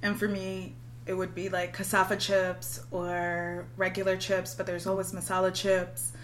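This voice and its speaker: A woman guest on a casual podcast, soft-spoken and relaxed, laughing often, with a faint hum behind her. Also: lowish voice, moderate pace, crowd noise, low-quality recording. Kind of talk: casual chat.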